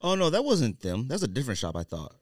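American man, podcast host, quick pace, expressive pitch movement, casual talk, dry sound. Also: no room sound. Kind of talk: casual chat.

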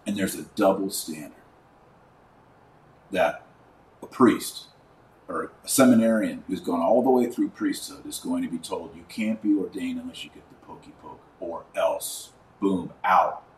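A man's voice: deep voice, unhurried, roomy sound, distant mic, slight background noise, low-quality recording. A man hosting a religious commentary podcast, speaking emphatically and indignantly, with rhetorical questions and sarcastic asides.

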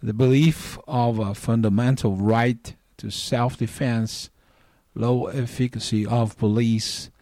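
Slow-speaking middle-aged Brazilian man. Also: mid-range voice, fairly even intonation, mellow, dry recording, studio quality. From northeastern Brazil. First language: Portuguese. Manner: deliberate, measured. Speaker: listener calling in. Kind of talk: prepared spoken commentary.

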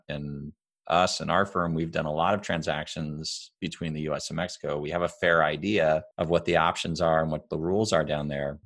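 A man co-hosting a podcast interview, talking in a measured, deliberate way with some fillers. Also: very deep voice, a bit bright. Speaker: podcast co-host.

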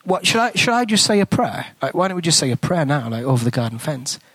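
A man with an English accent preaches in a relaxed, storytelling way, his voice sitting a bit high for a man. He sometimes sinks into a hushed near-whisper when he prays. The sound is close and dry, with no room echo. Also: fast talker, slightly rough. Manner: conversational storytelling, drops to a hushed whisper in prayer. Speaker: guest preacher. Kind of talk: sermon with a told story.

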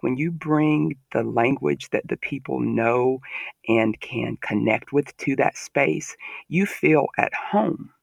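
A man's voice: medium pitch, slow, vocal fry, no echo. A man with a Southern American accent, talking earnestly and with emphasis in a podcast discussion.

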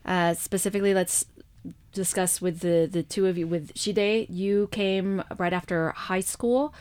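A woman's voice: fairly low pitch, steady tone, unhurried, darkish tone, clear, resonant tone. A woman hosting an interview, giving brief, inviting prompts to her guests.

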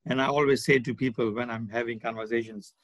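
Male interviewee, 60s, medium pitch, discursive conversational delivery, close dry recording without room echo. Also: fairly even intonation, fast, fairly bright tone, muffled recording. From born in India, grew up in Malawi. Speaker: podcast guest, charity development manager. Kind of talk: conversational interview, reflective account. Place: remote online call.

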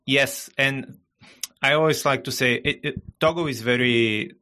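A man's voice: mid-range voice, sharp tone. A man from Bulgaria with a Bulgarian accent, a guest speaking carefully in a casual podcast conversation over a remote call. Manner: measured, hesitant with frequent uh fillers. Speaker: guest, marketing director.

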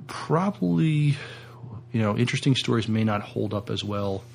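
A man co-hosting a podcast, speaking casually in a halting, tentative way. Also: fairly low pitch, expressive, vocal fry, darkish tone. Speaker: podcast co-host.

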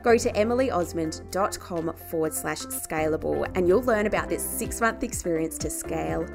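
A woman's voice: lowish voice, moderate pace, gravelly, over music. A woman hosting a podcast, talking with an effusive, enthusiastic manner in a live online conversation and then closing the show. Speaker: podcast host. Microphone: live-stream call.

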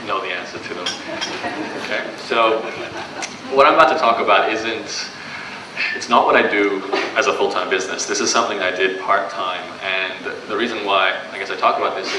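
A man's voice: lowish voice, normal speed. A young man with a Canadian accent, giving a casual, conversational talk to a live audience at a business event, with many fillers and restarts. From Australia. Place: event room before an audience. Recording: distant, echoey live room recording with background noise.

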